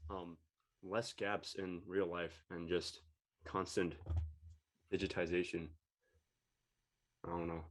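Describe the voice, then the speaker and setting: A man chatting casually as a panelist in a post-show discussion. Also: on the low side. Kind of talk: casual chat.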